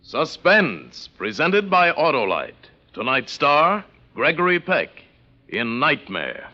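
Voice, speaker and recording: A male announcer in dramatic 1940s radio style. He speaks slowly and with sharp force, over faint music in a muffled vintage recording. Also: on the high side, huge pitch swings.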